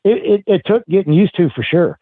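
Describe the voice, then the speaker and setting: A man in his fifties with a fairly high voice talks over a thin phone connection. He tells stories casually as a guest, blunt and quick to swear. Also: lively intonation, no echo. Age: 50s. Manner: blunt, profane. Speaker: guest, retired professional wrestler. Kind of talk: casual storytelling chat. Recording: tinny phone-line audio.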